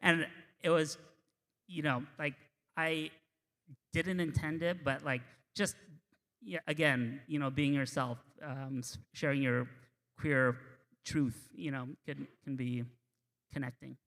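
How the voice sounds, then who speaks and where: An earnest man drag performer reflects on a personal story at a panel. His voice is bright and forceful and sits a little high. The room adds a long hall reverb. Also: fairly even intonation, slow, long pauses. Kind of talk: panel discussion, personal anecdote. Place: university event hall.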